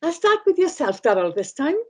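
Chatty middle-aged woman host, bright high voice with wide swings, speaking over a video call. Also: fairly quick. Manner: lively, chatty, laughs easily. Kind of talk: bilingual interview hosting. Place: home room with bookshelves.

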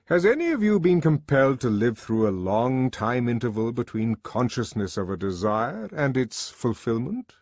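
A man's voice: mid-range voice, very animated, normal speed, hardly any pauses, a little mellow, no room sound, pitched above the performer's own voice. A man voicing a lofty, commanding male world ruler with an oratorical manner in an audiobook. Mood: probing. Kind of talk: audiobook character acting.

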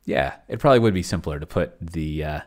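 A middle-aged man giving casual live commentary as he types and reads aloud, thinking out loud and trailing off, close on a desk microphone. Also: sits low, fairly quick. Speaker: solo role-player and streamer demonstrating AI game mastering. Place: small indoor room. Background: computer keyboard typing.

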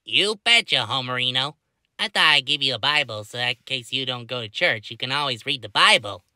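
Man playing comic cartoon townsfolk. Very bright, forceful tone, swooping pitch, exaggerated small-town characters. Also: lively intonation, fairly quick, no echo, clean studio sound. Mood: chirpy. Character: cartoon townsmen: chirpy neighbor, gruff bartender, police dad. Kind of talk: fan-made cartoon voice acting.

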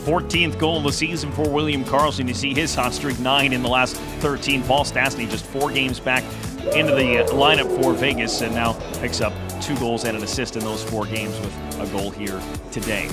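A man in his thirties hosting a post-game sports show, giving a fluent, brisk recap into a handheld mic. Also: mid-range voice, fairly even intonation, loud music behind the voice. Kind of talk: broadcast sports recap, polished. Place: inside a large hockey arena. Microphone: handheld broadcast microphone.

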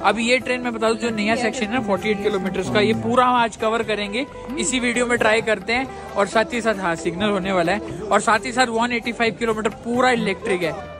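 A young man filming a travel vlog outdoors on a snowy train platform and inside a train, chatting excitedly with his viewers. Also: high-pitched, fast talker. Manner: excited, chatty, keeps calling viewers brother. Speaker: travel vlogger. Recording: background music mixed under the voice. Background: music.

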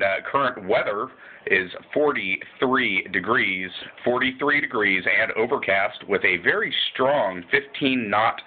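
A relaxed man with a lowish voice works a ham radio contact, spelling call signs letter by letter in an easygoing way. The recording is thin and tinny.

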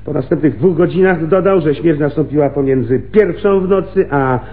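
A man's voice: fairly high pitch, moderate pace, natural voice. An actor playing a brusque, sardonic male homicide lieutenant in a radio play, wry and bossy, drawing out words for emphasis. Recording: muffled, phone-like radio recording with hiss.

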